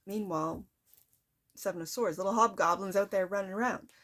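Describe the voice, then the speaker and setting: Woman, tarot reader, soft voice, chatty and reflective, mid-range pitch. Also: brisk. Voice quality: soft-spoken. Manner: chatty, reflective, addresses the viewer directly. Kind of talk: casual tarot reading to viewers.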